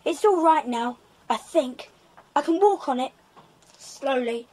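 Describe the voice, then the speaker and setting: A woman's voice: sits high, lively intonation, slow pace, dark tone, low-quality recording. A woman playing an eager, good-natured English schoolboy in a children's audio drama, with a British accent. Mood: pained, rueful.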